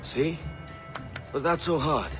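An actor with a Welsh accent playing a roguish, blunt, self-interested pirate assassin in a video game, brusque and casual in speech. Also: male voice, a little high, takes it slowly, music in the background. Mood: threatening. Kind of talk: dramatic video game acting.

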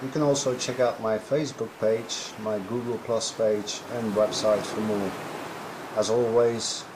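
A man's voice: lowish voice, noisy background. A young man casually explaining his boat build as he works under a tent outdoors. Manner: relaxed, unhurried explaining while working. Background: music.

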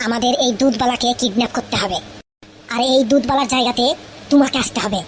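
A woman's voice: a little high, quick pace, slight background noise, lightly acted. A woman voicing a crafty, persuasive young sidekick in a comedy cartoon, coaxing and explaining. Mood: scheming. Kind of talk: exaggerated comedy cartoon dialogue.

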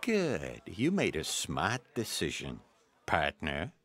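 A slick American gangster in a radio play. He sounds falsely friendly, with extremely animated, swooping intonation and a threat underneath. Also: deep voice, slow pace, over music, full character voice. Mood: slick menace. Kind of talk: radio drama acting.

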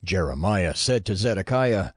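A man's voice: low-pitched, animated, normal speed, breathy edge, no room sound, studio quality. A man narrating scripture as part of a dramatized audio reading.

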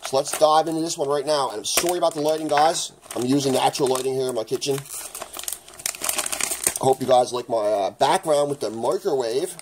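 A man with a raspy voice from the flu, chatting casually as he opens hockey card packs in his home kitchen. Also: medium pitch, brisk, background noise. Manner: chatty, rambling, excited at good pulls. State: raspy, getting over the flu. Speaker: hockey card channel host. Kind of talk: casual card-opening vlog.

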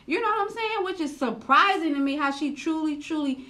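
A loud, expressive woman in her thirties talks straight to the camera in casual, slangy reaction commentary. Her voice swings from low and level to high and sharp when she gets worked up. She is seated indoors, close to the camera. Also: fairly quick. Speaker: reaction vlogger.

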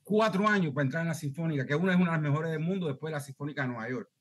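A man's voice: fairly high pitch, rapid-fire. Middle-aged cuban guy, headset call, earnest, talks a lot, keeps asking if you follow. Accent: Cuban Spanish. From Cuba. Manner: earnest, talkative, keeps checking he is understood. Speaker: student asking a question. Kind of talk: video call Q&A. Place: home room, indoors.

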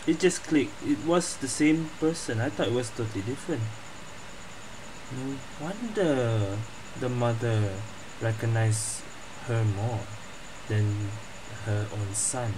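A woman's voice: extremely low pitch, takes it slowly, background noise. A woman gaming streamer giving casual reaction commentary while she plays. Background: game music.

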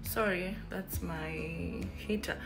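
A young woman with a Kenyan accent vlogs to a phone at arm's length in a small room. Her voice sits fairly low, and she chats loosely with plenty of fillers and restarts. Faint music plays behind her. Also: takes it slowly. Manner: chatty, rambling, lots of fillers and animated hand gestures. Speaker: YouTuber. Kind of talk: casual vlog chat to camera.

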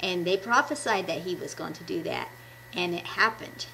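A woman's voice: lowish voice, animated, normal speed, bright, forceful tone, slight background noise, poor audio. A woman in her fifties giving an enthusiastic devotional talk to children, recorded on a webcam at home. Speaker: children's ministry puppet presenter. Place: small room at home. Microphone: webcam at arm's length.

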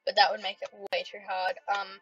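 A woman with an Australian accent, chatting casually as she plays a computer game, with lots of ums and sudden excited outbursts. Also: mid-range voice, fairly quick, lo-fi sound, faint music behind. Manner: rambling, many ums, bursts of playful excitement. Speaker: gaming vlogger.

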